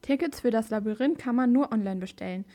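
High-voiced female kids' radio reporter. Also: quick pace, mellow. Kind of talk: scripted, chatty event tip for kids.

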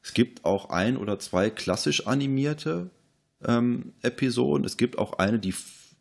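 A man's voice: medium pitch, animated, a little slow, gravelly. A man casually discussing films as a podcast host.

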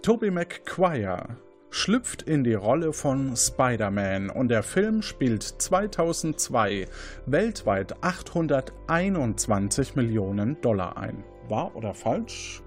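A man's voice: mid-range voice, a little mellow. A man hosting an audio quiz game, presenting statements and questions to contestants. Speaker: quiz show host.

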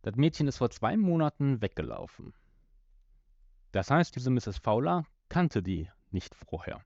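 A man's voice: mid-range voice, very animated, measured pace, darkish tone, no room sound, lo-fi sound. A man co-hosting a podcast, casually talking through the details of an audio drama. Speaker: podcast co-host.

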